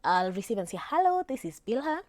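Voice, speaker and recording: A woman, an etiquette trainer calmly explaining phone manners on a studio talk show, with frequent fillers. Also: on the high side, dry recording. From Kenya. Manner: calm, measured advice with frequent fillers.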